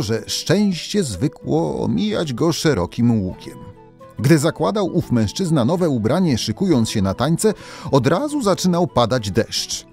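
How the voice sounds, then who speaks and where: A man narrating scripted folk tales as a storyteller, expressively and with dramatic pauses. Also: medium pitch, gravelly, a little mellow.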